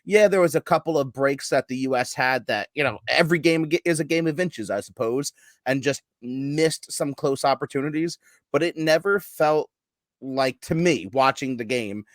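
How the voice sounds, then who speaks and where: A man co-hosting a casual sports podcast, animated and profane, now and then bursting into loud yelling. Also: medium pitch, dry recording, clean studio sound. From United States. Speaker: podcast co-host.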